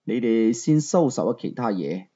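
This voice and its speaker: Guy doing a calm bossy robed elder in an audiobook, hong kong cantonese, slow n low, husky. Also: muffled recording. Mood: calm, commanding. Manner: slow, measured, quiet.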